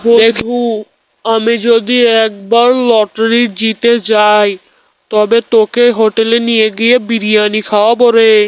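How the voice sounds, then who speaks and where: A man's voice: high voice, flat delivery, a little slow, smooth, lo-fi sound. A man voicing a hopeful, stubborn young man who dreams of luck, in casual amateur cartoon dialogue. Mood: hopeful, determined.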